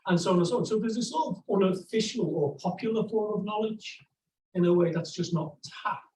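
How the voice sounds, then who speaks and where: A man, asking a long question at an academic talk, halting with many restarts. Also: high voice, darkish tone. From England. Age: 60s. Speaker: audience member asking a question. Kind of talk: academic discussion. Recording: echoey room from far off, faint noise.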